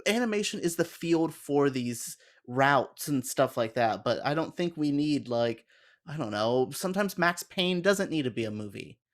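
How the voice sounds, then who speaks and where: A man chatting casually as a podcast panelist, with a mild, halting, conversational delivery. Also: fairly high pitch, animated. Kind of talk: casual chat.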